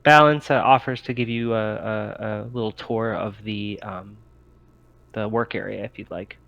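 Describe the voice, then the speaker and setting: A man narrating a tabletop game as game master, in a halting, hesitant way with many pauses. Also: on the low side, fairly even intonation, gravelly, no room sound, dull audio.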